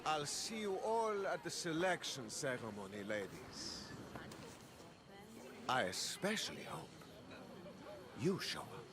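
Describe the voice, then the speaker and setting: Game noble suitor: rather high, lilting, smug flirt, over clear outdoor noise. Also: male voice, big pitch swings, slow pace, long pauses. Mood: smug, flirtatious. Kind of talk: dramatic video game acting.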